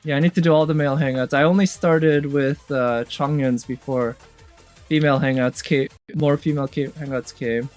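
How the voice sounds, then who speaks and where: A man with a slightly high voice gives casual streamer commentary. He muses off the cuff as he reacts and ranks what he watches, with music playing behind him. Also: fairly even intonation, moderate pace, darkish tone. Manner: off-the-cuff musing, sometimes humming tunes. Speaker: streamer reacting to game trailers and ranking them. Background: game trailer music with guitar.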